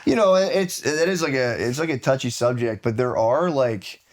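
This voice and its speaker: A man's voice: mid-range voice, normal speed. Casual, self-deprecating American man. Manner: conversational, hedging, self-deprecating. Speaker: podcast guest. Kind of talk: casual chat.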